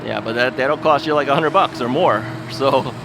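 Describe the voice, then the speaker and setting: A man's voice: mid-range voice, moderate pace, gravelly. A man hosting a casual vlog while out riding on a city street. He rambles in a folksy way, with lots of filler words and frequent chuckles. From United States. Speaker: ebike video host. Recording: outdoor street recording with traffic noise. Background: traffic and street noise.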